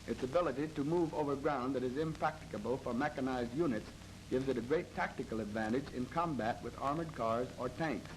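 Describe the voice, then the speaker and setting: An American man narrates a military training film in a formal, measured, matter-of-fact 1930s instructional style. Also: medium pitch. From United States. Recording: noisy old 1930s film soundtrack.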